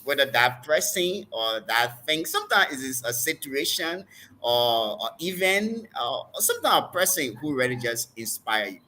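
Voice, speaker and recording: A man's voice: mid-range voice, sing-song, normal speed, pushed and bright, slight background noise. A middle-aged man with a Liberian accent hosting an interview, chatty and conversational. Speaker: host, preacher and neurosurgeon.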